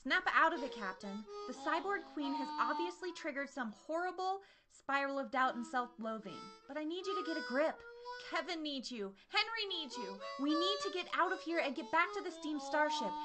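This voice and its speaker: Woman acting plucky space crewmate, high voice, very animated, no-nonsense, rallying, comic radio play. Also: normal speed, over music. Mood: urging.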